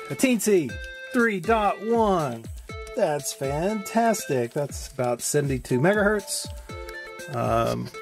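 A man hosting a hands-on electronics tutorial, talking informally and thinking aloud as he works. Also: fairly high pitch. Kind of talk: casual narrated build demo. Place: indoor electronics workbench.

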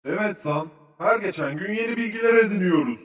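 A man's voice: high-pitched, lively intonation, a little fast. A man voicing a keen, friendly cartoon researcher sidekick who sums things up instructively for children. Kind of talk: children's educational cartoon acting. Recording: roomy, slightly distant sound.

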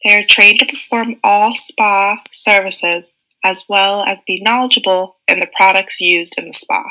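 A young woman narrates a prepared script for a class presentation, working through lists one item at a time. Her voice is very bright and sharp, with a gravelly edge of vocal fry, and her pitch is ordinary. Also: fairly even intonation, a little slow, rough recording. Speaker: student presenter. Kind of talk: scripted narration of an animated case study.

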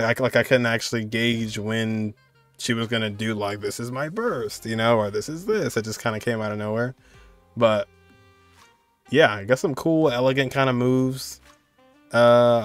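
A man's voice: mid-range voice, fairly bright tone, clear, resonant tone, dry recording. Young man, casual reaction commentary, laid-back, conversational, dry recording, faint music. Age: young adult. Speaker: reaction video host.

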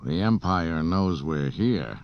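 A male narrator plays an offhand rebel pilot in a space adventure audiobook. He sounds wary and a bit hesitant, with lively pitch. The tape is dull. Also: slow, exaggerated voice. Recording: dull cassette transfer with hiss.

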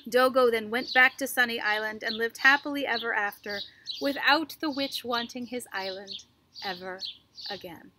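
A middle-aged woman reads a children's story aloud in an expressive, animated way, with deliberate enunciation and dramatic pauses. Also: on the high side. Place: outdoors on a backyard wooden deck. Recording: faint outdoor background noise with birdsong.

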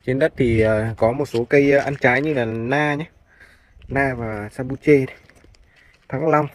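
A male property agent talks viewers through a piece of farmland in a polite sales manner, at a middling pitch. He is outdoors on a handheld phone, with faint noise behind his voice. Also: steady tone, measured pace. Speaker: real estate agent. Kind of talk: walk-through property tour narration. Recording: clear background noise, outdoor phone video.

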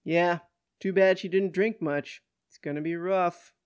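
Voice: mid-range voice, steady tone, a little slow, darkish tone, clean tone, no room sound, close to an everyday voice. A narrator voicing a folksy older male police officer who admonishes and explains in a paternal way, in an audiobook story. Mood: resigned.

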